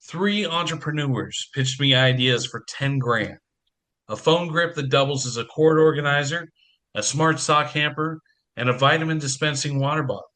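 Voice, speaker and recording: A man's voice: a little high, normal speed, bright, forceful tone. A man acting in an audio play as a harried, wry, affectionate businessman father with dry sarcasm. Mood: wry, busy.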